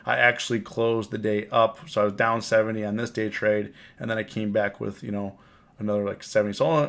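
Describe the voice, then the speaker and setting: A man with a cold, hoarse and rambling, recapping his trades on a casual live stream and often repeating himself. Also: on the low side. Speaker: options trading live streamer. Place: home, on camera.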